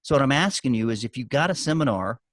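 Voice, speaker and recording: A man coaches a group over a video call in a medium-pitched voice. He speaks conversationally, stressing his points and slipping into anecdotes. The sound is close and dry. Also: lively intonation, fast talker, a bit bright, no room sound. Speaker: guest business coach and author. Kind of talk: giving marketing advice on a group video call.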